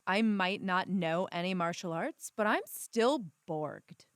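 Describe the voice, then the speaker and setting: A woman's voice: on the low side, expressive, slow, heavy background noise. A woman playing a sassy, blunt young cyborg sidekick in an audio drama, talking informally. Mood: defiant. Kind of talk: audio drama character acting.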